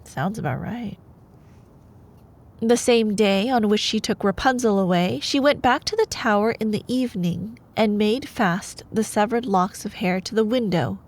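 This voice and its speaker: A woman playing a sweet, playful, opinionated girlfriend in an intimate bedtime-story ASMR roleplay, expressive in her delivery. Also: mid-range voice, lightly acted. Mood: measured storytelling. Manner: expressive, exasperated asides between measured reading.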